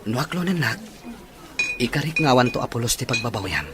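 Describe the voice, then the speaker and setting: A man acting a sincere young working man in love in a radio drama, soft-hearted and earnest. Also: medium pitch, animated, fast talker, heavy background noise, lightly acted. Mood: earnest, pleading.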